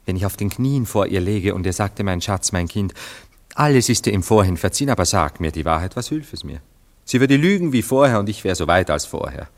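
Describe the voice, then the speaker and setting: Deep-voiced brooding gentleman lover for a radio drama: jealous, cynical, eloquent, self-dramatising. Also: animated, brisk, creaky. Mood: anguished, passionate. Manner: theatrical, eloquent, musing monologues with emphatic swings. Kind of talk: German literary radio play acting.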